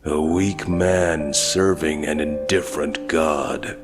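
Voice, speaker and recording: Guy doing a deep brooding masked vigilante, terse n glib, comic dub w music under it. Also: fairly even intonation, slow. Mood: bitter, brooding. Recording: music bed behind the voice.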